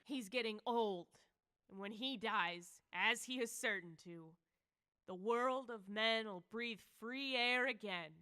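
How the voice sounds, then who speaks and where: A woman's voice: on the high side, takes it slowly, sharp tone, clear, resonant tone. A woman playing a bitter, grumbling, folksy old peasant woman in old-time radio horror style. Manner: querulous, scolding, folksy.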